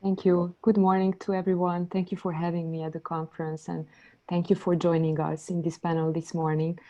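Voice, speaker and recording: A woman from Hungary presents her research to an online panel. Her voice sits very low and sounds mellow and soft-edged. She speaks in smooth, composed sentences. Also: level tone, a little fast, muffled recording. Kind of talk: academic conference presentation. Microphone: computer mic on a video call.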